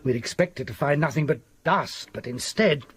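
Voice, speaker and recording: Haunted English doctor, very husky and breathy, theatrical ghost-story teller for radio drama, sardonic yet fearful. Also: male voice, on the high side, moderate pace. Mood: macabre, hushed. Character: haunted country doctor confessing grave robbing, sardonic, fearful. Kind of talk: British radio drama acting.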